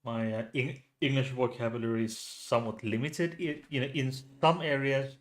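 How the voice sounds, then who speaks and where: A man with a Finnish accent, host of a true crime channel, talking casually with lots of restarts and pauses. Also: mid-range voice, fairly even intonation. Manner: hesitant, many restarts and fillers. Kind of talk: casual solo commentary.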